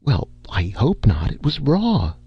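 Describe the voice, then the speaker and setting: A man reading an audiobook, voicing a hurried, fretful middle-aged wife in a raised voice. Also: medium pitch, huge pitch swings, husky, no room sound, dull audio, voice pushed up, exaggerated voice. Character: anxious upper-class wife, middle-aged.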